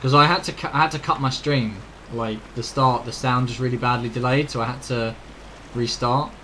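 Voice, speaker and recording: A man's voice: mid-range voice, fairly even intonation, a little fast, pushed and bright. Informal British male game streamer, faint background noise. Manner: informal, rambling, mild swearing. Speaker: video game live streamer. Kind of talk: casual chat to viewers during gameplay. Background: faint game ambience.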